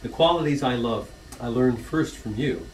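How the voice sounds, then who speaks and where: A middle-aged man reads his own rhyming poem aloud, earnest, pausing now and then with a soft laugh. His voice sits at an ordinary pitch. He is heard from across a church hall on a camcorder, with faint room noise behind him. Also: expressive, recorded from a distance. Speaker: son reading an anniversary poem.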